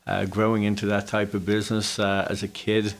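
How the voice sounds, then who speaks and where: A man in his forties with a Dublin Irish accent, recounting his own story casually as an interview guest, with hesitant pauses. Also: lowish voice, monotone. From Dublin, Ireland. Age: 40s. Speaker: interview guest, business owner.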